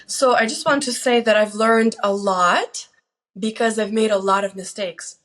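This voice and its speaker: A woman in her forties from Lithuania, a guest telling personal stories casually in an animated, self-deprecating way. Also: mid-range voice, moderate pace. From Lithuania, moved to the US as a teen. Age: 40s. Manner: animated, self-deprecating, quotes herself and others. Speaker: guest on her daughter's show.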